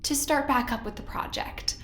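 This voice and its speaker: An actress playing a smug corporate research director in an audio drama, falsely sweet, condescending and theatrically polite. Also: female voice, high voice, steady tone, normal speed, a bit bright, roomy sound. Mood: feigned innocence.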